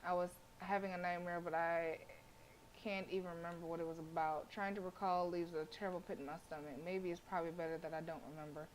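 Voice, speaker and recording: A woman streamer reading a visual novel aloud as expressive live narration. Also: lowish voice, fast talker, a little hiss or hum, poor audio.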